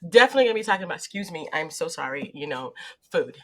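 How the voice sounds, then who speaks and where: A woman's voice: sits low, sing-song, rapid-fire, a bit bright. This is a woman hosting a casual interview podcast. She talks in a bubbly, exclamatory way, doubling back and restarting her thoughts as she goes. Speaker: podcast host.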